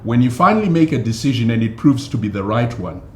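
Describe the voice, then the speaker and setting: A man, a pastor and actor, answering interview questions in a measured, hesitant way with many fillers. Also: mid-range voice, lively intonation. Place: studio. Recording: echoey studio with faint noise.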